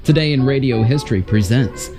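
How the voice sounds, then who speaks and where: A man's voice: medium pitch, brisk. A man hosting with a scripted, announcer-like narration. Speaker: host. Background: music.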